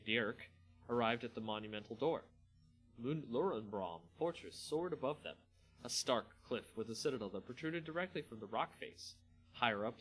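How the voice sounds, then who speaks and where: A man hosting a dramatic story reading, expressive in delivery and now and then stumbling and correcting himself. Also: medium pitch, low-quality recording. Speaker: host reading a fantasy story aloud.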